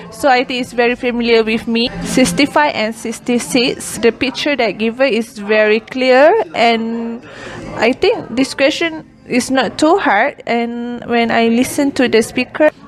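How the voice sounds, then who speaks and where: Young Malaysian woman, halting English. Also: a little high. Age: young adult. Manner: hesitant, frequent "uh" and "like" fillers. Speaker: university student. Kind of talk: recorded interview answers.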